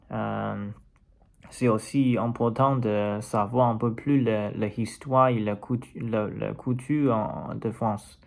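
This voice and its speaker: Halting man with a Mandarin Chinese accent. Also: on the low side, gravelly, a little mellow. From China. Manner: halting, searching for words. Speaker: language learner. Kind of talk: unscripted personal vlog.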